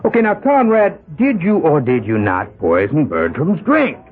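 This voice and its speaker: A man's voice: huge pitch swings, normal speed. An actor playing a belligerent man pushing for a hanging in a 1970s radio drama, blustering and indignant. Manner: blustering and indignant, voice jumps high. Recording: tinny lo-fi 1970s radio broadcast.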